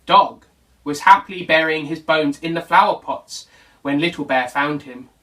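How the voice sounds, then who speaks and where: A man reading a children's bedtime story as an expressive narrator. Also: on the high side, normal speed. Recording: voice distant from the mic.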